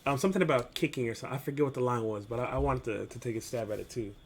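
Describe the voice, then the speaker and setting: A man's voice: medium pitch, racing, slight background noise. A man hosting a reaction video, commenting in a casual, slangy way. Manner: slangy, informal.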